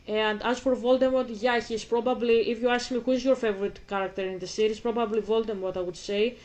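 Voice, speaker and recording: Greek girl in her twenties talking casually, kinda high voice, keeps restarting sentences. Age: 20s. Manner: rambling, many restarts and repeats. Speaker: host. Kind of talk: casual commentary.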